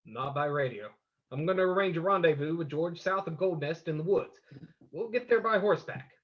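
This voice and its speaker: An actor playing a calm, decisive detective hero in an old-time radio drama, brisk and authoritative, on a dull recording. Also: male voice, fairly high pitch.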